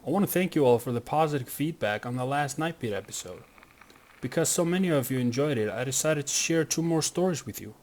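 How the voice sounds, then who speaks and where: A man's voice: mid-range voice, expressive, brisk, darkish tone. Polite man reading a channel intro. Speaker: channel host introducing episodes. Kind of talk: scripted introduction.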